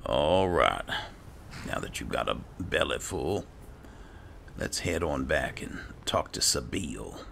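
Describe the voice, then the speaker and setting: Un uomo che commenta un videogioco in stile gioco di ruolo, riflettendo ad alta voce nei panni del personaggio, con musica di gioco sotto. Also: male voice, rumbling low, very animated, slow pace, vocal fry, fairly bright tone, slight background noise. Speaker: gaming channel host role-playing as an alchemist. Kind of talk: slow, musing in-character game roleplay commentary. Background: game music.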